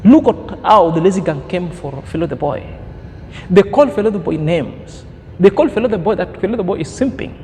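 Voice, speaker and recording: A man's voice: sits high, animated, a little fast, a little mellow, long reverb tail, a little hiss or hum. A man in his thirties giving an opinion commentary as a vlogger, preachy and emphatic, fond of grand words. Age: 30s.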